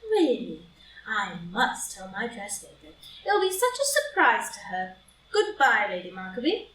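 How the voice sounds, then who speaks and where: A flippant young society lady, acted by a woman, very high and swooping in pitch. She is vain, playful and teasing in a stage comedy reading. The sound is distant and roomy, with some noise. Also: huge pitch swings, unhurried, a bit bright, exaggerated voice. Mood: polite, flippant. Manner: arch, breezy, teasing drawl. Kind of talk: group play reading for audio drama. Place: live in-person group reading in a room.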